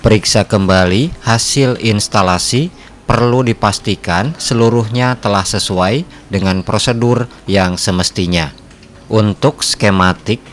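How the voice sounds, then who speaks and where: A man reading scripted narration for a repair tutorial in a measured, formal, instructional way. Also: lowish voice, a little hiss or hum. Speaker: host of an electronics repair channel.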